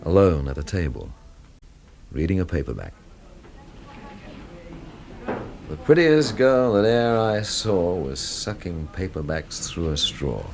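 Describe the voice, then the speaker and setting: A man's voice: sits low, big pitch swings, a little slow, background noise, full character voice. An actor playing a wry, brooding, hard-drinking man who narrates and talks with dry, literate sarcasm in a radio drama. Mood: wry, reflective. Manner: dry, sardonic, literate phrasing. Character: world-weary, wry hard-drinking male storyteller, brooding and sardonic.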